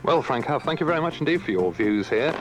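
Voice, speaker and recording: A man hosting a radio phone-in, putting measured questions to callers and guests. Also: medium pitch, very animated, over music, rough recording.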